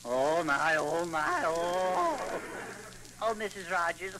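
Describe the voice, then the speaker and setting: A man performs a clownish old-time radio comic with a high, swooping voice that teases and exclaims, repeating words playfully. He takes his time, on a crackly, noisy vintage recording. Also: big pitch swings, slow. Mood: teasing, jokey. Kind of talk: 1930s-50s radio comedy patter.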